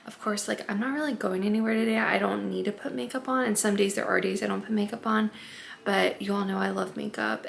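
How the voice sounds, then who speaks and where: A young woman vlogging casually at home as a stay-at-home mom, chatty and often hushed, congested and a bit raspy from allergies. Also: mid-range voice, slight background noise. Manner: chatty, lots of "like" and "um", often hushed to not wake the baby. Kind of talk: casual vlog talk to camera.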